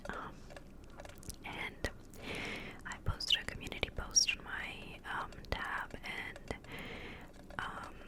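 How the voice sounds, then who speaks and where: A woman's voice: slow, pauses often. A woman whispering a rambling ASMR catch-up close to the mic, a little congested from a cold, with lots of fillers. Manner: rambling, many fillers and restarts. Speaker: ASMR creator. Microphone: close-up microphone.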